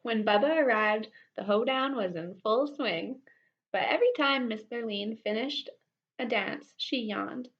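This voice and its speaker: A woman's voice: a little high, measured pace. A woman reading a children's story aloud expressively, with playful asides to her listeners. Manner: animated asides to young listeners. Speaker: storybook reader. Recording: dull sound with faint background noise.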